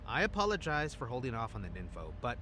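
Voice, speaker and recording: Guy doing a flirty cocky male agent, really swoopy and bright, audio drama teasing. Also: medium pitch, fast talker, slight background noise. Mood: breezy. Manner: theatrical, teasing, animated.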